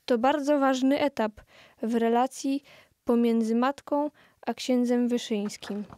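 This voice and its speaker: Slow, mellow woman narrator. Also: a little high, dry recording, clean studio sound. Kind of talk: scripted narration of a historical radio play.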